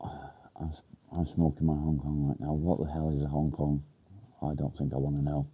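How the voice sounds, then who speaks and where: A man's voice: extremely low pitch, flat delivery, moderate pace, slightly rough, narrow, tinny audio. A man from England hosts a livestream, answering viewer comments in a blunt, casual way. He sounds nasal and congested from a blocked nose. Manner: blunt, straight to the point. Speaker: livestream host.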